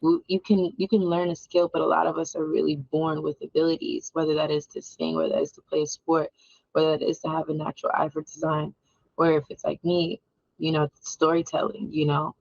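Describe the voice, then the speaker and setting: A woman's voice: low voice, fairly quick, creaky, darkish tone. A young woman filmmaker giving reflective interview answers, loose and full of fillers, in a muffled recording. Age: young adult. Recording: dull, muffled audio.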